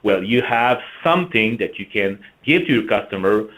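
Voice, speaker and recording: French-canadian guy doing a company webinar, kinda low voice, lots of uh and throat clearing. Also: animated, fairly bright tone, rough recording. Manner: halting, with frequent fillers and throat clearing. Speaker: marketing executive presenting a webinar. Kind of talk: business webinar presentation.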